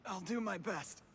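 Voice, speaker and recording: A meek young man in a video game, high-pitched and creaky. He is eager to please and sounds choked by drink. A little noise is behind him. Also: full character voice. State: choking, coughing on a drink.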